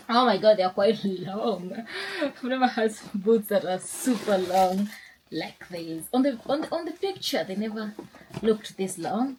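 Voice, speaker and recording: A woman's voice: on the high side, darkish tone, a little hiss or hum, rough recording. A young woman, chatting casually in a sit-down vlog, upbeat and rambling with restarts. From Kenya. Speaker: lifestyle vlogger.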